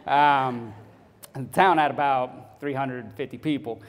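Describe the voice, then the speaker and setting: A man in his thirties from Texas with a Texan accent, giving a personal testimony speech to a club, halting with many ums and uhs. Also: mid-range voice, steady tone. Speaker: guest speaker. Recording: hall reverb.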